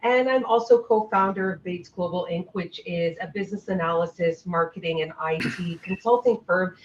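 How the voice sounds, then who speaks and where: A woman's voice: lowish voice, moderate pace, background noise. A woman from Ottawa, Canada, a business founder, introducing herself politely on a video call. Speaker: app founder. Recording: distant, dull, roomy call audio.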